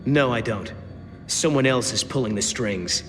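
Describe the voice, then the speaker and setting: Young male hero, acted by a man, mid-pitched, thoughtful and determined, game cutscene with music and reverb. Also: measured pace, lightly acted. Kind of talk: video game cutscene acting. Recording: reverberant game audio under loud music.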